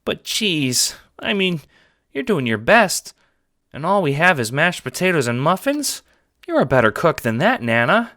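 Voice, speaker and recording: A man voicing an earnest young boy hero in an audio drama, wheedling with halting, hammy pleading. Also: on the high side, big pitch swings, pushed and bright, dry recording, clean studio sound, pitched above the performer's own voice, exaggerated voice. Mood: sly, dramatic.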